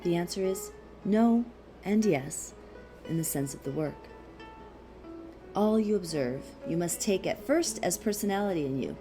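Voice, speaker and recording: A woman reading a philosophical text aloud as scripted narration, in a measured, deliberate way with pauses. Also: fairly low pitch, lively intonation, music in the background. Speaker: audiobook reader.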